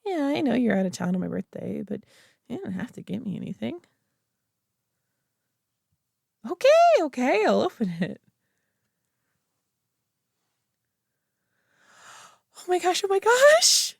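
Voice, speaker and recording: A woman's voice: high voice, very animated, a little fast, creaky, dry recording, studio quality, full character voice. A young woman voicing a nerdy friend who rambles eagerly about her tabletop hobby, full of "like" and giggles, in a scripted roleplay. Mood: overjoyed squealing. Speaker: voice actor in an audio roleplay. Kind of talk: scripted roleplay monologue to the listener.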